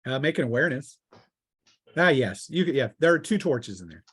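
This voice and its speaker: A man acting as game master, improvising narration for a tabletop game and often halting to search for words. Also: mid-range voice, sing-song, a bit bright, husky.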